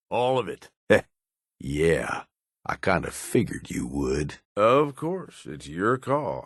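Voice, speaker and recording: An actor voicing a tough, cynical middle-aged American raider in a video game, gruff and folksy in a plain-spoken way. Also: male voice, deep voice, a bit bright, no echo, studio quality, full character voice. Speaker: companion character in a video game. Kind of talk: acted video game dialogue.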